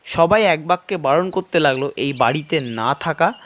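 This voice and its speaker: A man narrating a horror audio story in a suspenseful, expressive style with drawn-out dramatic phrasing. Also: on the high side, a little hiss or hum. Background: background music.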